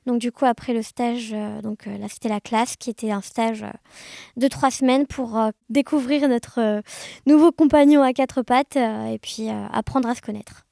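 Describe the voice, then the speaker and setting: High-pitched young woman, expressive. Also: moderate pace, creaky, no room sound, clean studio sound. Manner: animated, expressive, uses sound words. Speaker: podcast guest. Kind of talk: casual conversational storytelling.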